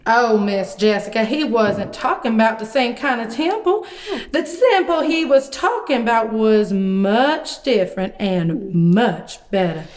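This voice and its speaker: A woman voicing a folksy, excitable male prophet puppet who tells stories theatrically in a children's puppet show. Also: a little high. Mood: earnest explaining. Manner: folksy, theatrical, drawn-out delivery.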